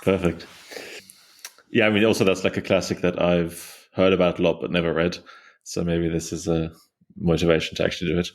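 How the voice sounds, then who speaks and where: A man's voice: sits low, little pitch movement, brisk. A young man hosting a podcast, asking questions in a loose, casual way with many fillers. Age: young adult. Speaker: podcast host. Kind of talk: casual interview.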